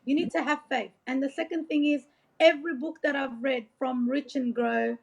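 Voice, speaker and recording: A woman's voice: high-pitched, steady tone, moderate pace, creaky, a little mellow. A woman from Iraq speaking as a motivational coach in an informal interview, earnest, rambling and encouraging, often saying like and you know. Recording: dull, lo-fi audio.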